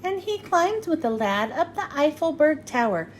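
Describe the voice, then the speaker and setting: A woman reading a rhyming picture book aloud to children in an expressive storytelling style, acting out the dialogue. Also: sits high, normal speed, slight background noise. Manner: expressive, acts out character dialogue.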